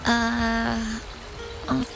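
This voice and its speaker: A woman's voice: fairly high pitch, monotone, extremely slow, vocal fry, music in the background, heavily acted. A woman voicing an eager, helpful townswoman in a video game who writes travel guides and speaks admiringly. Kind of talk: game voice acting.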